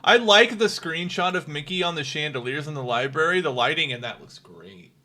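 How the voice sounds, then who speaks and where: Man, podcast co-host, casual chat, bright voice, laughs a lot, stumbles over words. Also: on the high side, brisk. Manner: chatty, laughs often, trips over words.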